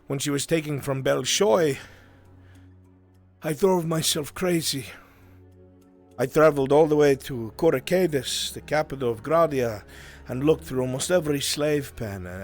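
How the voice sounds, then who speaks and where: A man playing a grave, earnest character in a fantasy roleplay, speaking deliberately with dramatic pauses. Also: mid-range voice, soft background music. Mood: sorrowful, earnest. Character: grave, earnest man pleading for a lost enslaved friend. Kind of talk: in-character improvised fantasy acting.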